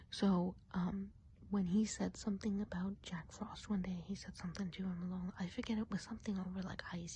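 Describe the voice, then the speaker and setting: A woman speaks in a soft, mellow whisper, fairly low in pitch, as a gossipy witch in an ASMR roleplay. Her delivery is casual and confiding, wandering from aside to aside. A faint background noise sits behind her voice. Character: friendly, gossipy witch of the enchanted woods.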